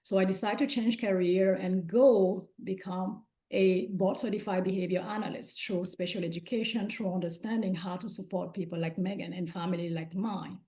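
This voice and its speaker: A woman's voice: mid-range voice, fairly even intonation, normal speed, few pauses, mellow. A woman from Cameroon whose first language is French, giving an earnest talk as a guest speaker at a conference, with frequent "uh" fillers.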